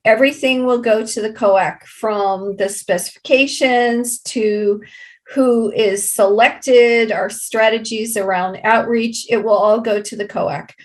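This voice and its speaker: A woman giving explanatory interview answers as a project representative, deliberate and halting, leaning on key words. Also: a little high, fairly even intonation.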